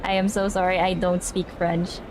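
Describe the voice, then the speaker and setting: A woman's voice: lowish voice, level tone, normal speed. Rambling young woman streamer, noisy background. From Philippines. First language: Tagalog. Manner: rambling, restarts words, repeats "I, I". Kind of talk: casual live-stream chat with viewers.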